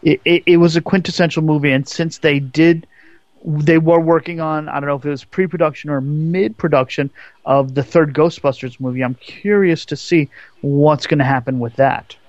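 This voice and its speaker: Male radio co-host, a bit high-pitched, halting and stuttery, dull dry sound. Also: fairly even intonation, a little mellow, no room sound. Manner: halting, stuttery, many ums and you-knows. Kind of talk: casual radio banter.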